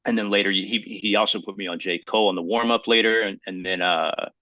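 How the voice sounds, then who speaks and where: Male podcast co-host, thirties. Sharp, forceful tone, slangy emphatic storyteller, close dry recording. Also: on the low side, steady tone, a little fast, dry recording, low-quality recording. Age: 30s. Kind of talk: casual podcast chat about hip hop.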